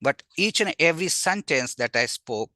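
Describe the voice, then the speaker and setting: Man, Indian accent, native Magahi speaker, bright forceful voice, dry close sound, informal academic lecture, animated, rhetorical questions. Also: fairly high pitch, moderate pace, dry recording. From Bihar, India. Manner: animated, asks and answers own rhetorical questions. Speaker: university language teacher, invited webinar speaker.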